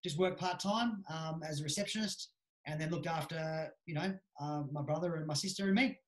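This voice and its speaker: A man's voice: on the high side, moderate pace. An Australian man in his thirties chatting casually as an interview guest, easygoing with lots of fillers. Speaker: guest, judo coach. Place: small room at home. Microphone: webcam video call. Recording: echoey room.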